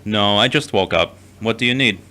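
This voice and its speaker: Bright-voiced, conflicted earnest man acted out. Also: lowish voice, normal speed. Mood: guarded, dry. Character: conflicted, earnest man wrestling with God and himself. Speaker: actor in an audio drama. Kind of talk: scripted audio drama acting.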